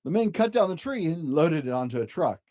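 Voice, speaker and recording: A storyteller reading a children's picture book aloud in an expressive, gentle way, as if to young children. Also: medium pitch, brisk.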